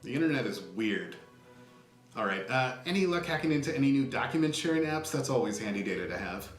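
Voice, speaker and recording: A man acting a boss in a comedy sketch, brisk and incredulous. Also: mid-range voice, animated. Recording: echoey home recording with music.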